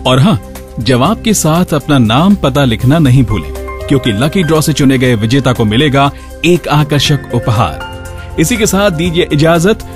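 A man's voice: mid-range voice, animated, a little slow, over music. A man hosting a radio show, reading scripted narration and announcements.

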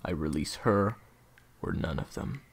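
A man playing a cold, defiant assassin gang leader in an audio roleplay drama, with a husky, gravelly voice. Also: sits low, expressive, a little slow, slight background noise, full character voice. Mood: cold, commanding.

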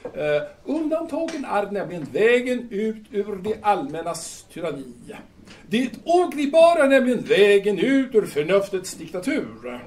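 A high, wildly swinging voice acted by a man gives us a drunken bohemian playwright, grandiose and irritable. He declaims in halting bursts for an audio drama, heard from a distance over faint noise. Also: very animated, darkish tone. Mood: grandiose, fervent. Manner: declamatory, halting, emphatic repetitions. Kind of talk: theatrical audio drama acting in Swedish.

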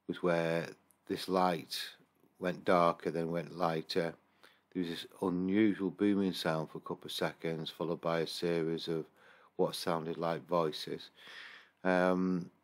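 Deep, gravelly man reviewing footage. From England. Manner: rambling, hesitant, many fillers and restarts. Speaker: paranormal investigator reviewing CCTV footage. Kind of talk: casual screen-recorded review commentary.

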